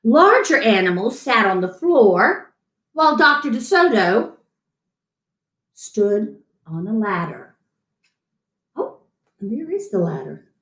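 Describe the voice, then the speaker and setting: A middle-aged woman reading a picture book aloud to children in an expressive story-time style. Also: a little high, slow pace, long pauses. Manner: animated, chatting to an unseen guest between lines. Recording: roomy echo.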